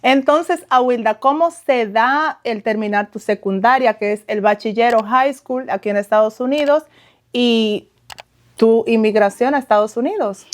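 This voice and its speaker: A woman's voice: fairly high pitch, normal speed. A woman hosting a podcast, interviewing her guest with an encouraging manner. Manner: encouraging, asks questions warmly. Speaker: podcast host.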